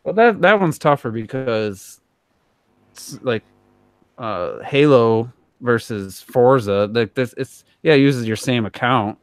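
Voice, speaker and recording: A man's voice: medium pitch, expressive, slow pace, clean tone, no echo. A man chatting casually and informally as a podcast co-host, in a rambling way. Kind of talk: casual gaming podcast discussion.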